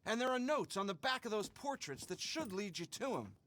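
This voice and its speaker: An actor playing an earnest, nervous Old West biographer in a western game, with a wordy, pleading manner. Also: male voice, high-pitched, big pitch swings, fairly quick, a bit bright. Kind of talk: western video game acting.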